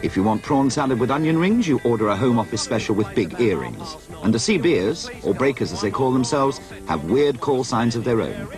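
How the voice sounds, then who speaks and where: A male television reporter reads a scripted documentary narration. His mid-pitched voice rises and falls in a lively way, with music playing underneath. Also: brisk.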